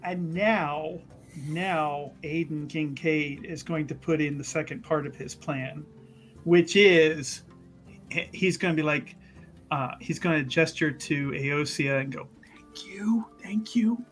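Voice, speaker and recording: Male tabletop player. Slightly high voice, casual, eager repetitions, faint music behind him. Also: fairly even intonation, takes it slowly, a bit bright. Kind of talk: casual tabletop roleplay chat.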